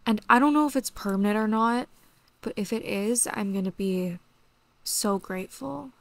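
A young woman with a voice going hoarse, chatting casually and excitably while she plays a horse game at home. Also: medium pitch, normal speed, no echo. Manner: chatty, excitable, lots of "like" and "literally". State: losing her voice. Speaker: gaming YouTuber. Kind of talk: casual gameplay commentary.

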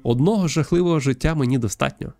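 A man's voice: a little high, quick pace, mellow, no echo, faint music behind, close to an everyday voice. A man voicing a gloomy, distrustful young dead girl from a visual novel, in a guarded, bitter manner.

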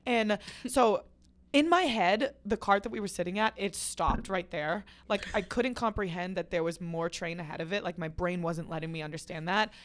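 A woman's voice: fairly low pitch, normal speed, a little hiss or hum. A woman in her twenties hosting a podcast, telling stories in slangy, profane, exaggerated casual chat into a close studio microphone. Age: 20s. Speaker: podcast host. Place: small podcast studio, indoors. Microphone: close studio microphone on a stand.

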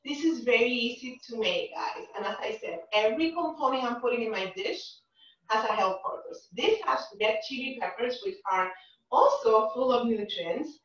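A woman's voice: a little high, expressive, normal speed, a bit bright. A middle-aged woman, a chef giving a live cooking demonstration in a home kitchen and asking "right?" as she explains. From Dominican and Cuban family. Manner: chatty, explains while she cooks, says "right?" often. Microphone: camera across the kitchen. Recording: echoey, distant, dull sound.

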